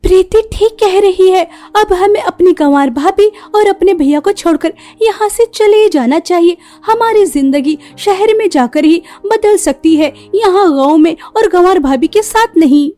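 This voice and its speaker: A scheming, ungrateful young sister from a cartoon village tale, voiced by a woman. Her voice sits very high with a soft edge, and she sounds dismissive as she persuades. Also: lively intonation, measured pace, few pauses. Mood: scornful. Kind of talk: Hindi cartoon story voice acting.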